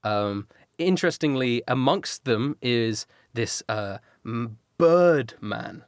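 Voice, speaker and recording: A man's voice: mid-range voice, lively intonation, slow, clean tone, no room sound, clean studio sound. A man running a tabletop game as dungeon master, describing scenes loosely with many fillers.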